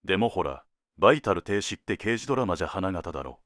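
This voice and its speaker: A man playing a world-weary senior detective in an audio drama, laconic and deliberate, given to dry teasing jokes. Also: deep voice, very animated, a bit bright, dry recording. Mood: wry, businesslike. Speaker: police detective at headquarters.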